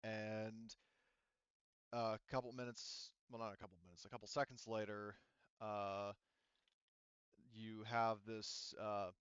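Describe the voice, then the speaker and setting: Clear-voiced man narrating a tabletop game. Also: fairly low pitch, little pitch movement, fairly bright tone, dry recording, lo-fi sound. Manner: halting, conversational, self-correcting. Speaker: game master of a tabletop roleplaying game.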